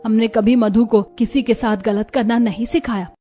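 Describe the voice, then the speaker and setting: A woman plays a humble mother from a poor cartoon household. She speaks earnestly and politely in a fairly high voice, with dignity, over music. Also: steady tone, moderate pace, mellow, natural voice. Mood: earnest, hurt. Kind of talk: cartoon story dialogue.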